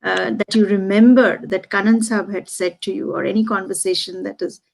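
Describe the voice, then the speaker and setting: A woman's voice: mid-range voice, steady tone, moderate pace, creaky, rough recording. A woman interviewer asking a long question hesitantly, with many fillers. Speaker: co-host interviewer.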